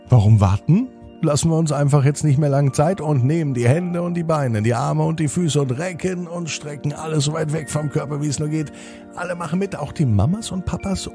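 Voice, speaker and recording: A man telling a bedtime story for children as a podcast host, speaking deliberately with repeated phrases and drawn-out emphasis. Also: fairly high pitch, gravelly.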